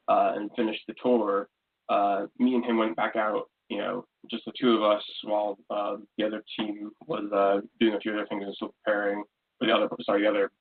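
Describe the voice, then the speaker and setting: Man, raspy monotone, hesitant roundabout explanation, casual, dull lo-fi recording. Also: lowish voice. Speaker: paranormal investigation team member, guest. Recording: muffled, lo-fi call-like sound.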